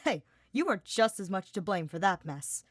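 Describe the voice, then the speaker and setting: A woman voicing a brash, teasing young male paladin in a fantasy story, loud and informal in manner. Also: mid-range voice, sing-song, normal speed, no echo, clean studio sound. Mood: defensive.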